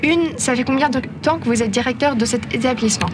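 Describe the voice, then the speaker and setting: A woman's voice: sits high, quick pace. A young woman, a student radio host reading interview questions with giggly energy. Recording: noisy room mic.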